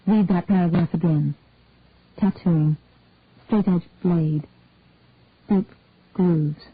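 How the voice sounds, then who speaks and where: A woman's voice: lowish voice, slow pace, slightly rough, dry recording, pitched below the performer's own voice. A woman voicing a grandmother in her seventies who speaks in a breathless, pleading way. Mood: musing. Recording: dry, tinny phone-like audio.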